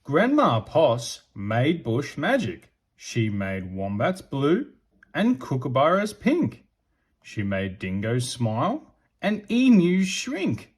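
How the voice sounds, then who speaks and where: A man reads a children's storybook aloud in expressive narration. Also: medium pitch, takes it slowly, slightly rough. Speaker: storybook reader.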